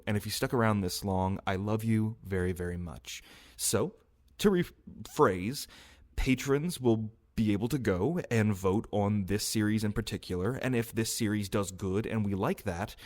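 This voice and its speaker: Low-pitched man, casual and earnest. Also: darkish tone. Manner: earnest, conversational. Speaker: audio roleplay creator, channel host. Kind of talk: casual announcement to listeners.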